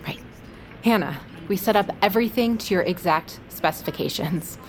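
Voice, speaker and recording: An actress playing a deferential, diligent catering supervisor in a naturalistic audio drama. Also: female voice, mid-range voice, lively intonation, normal speed, darkish tone, slight background noise, lightly acted. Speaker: catering staff leader.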